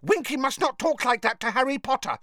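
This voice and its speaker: A storybook narrator voicing a timid, devoted male house elf with a high, squeaky voice. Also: lively intonation, normal speed. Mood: earnest. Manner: squeaky, speaks of himself by name.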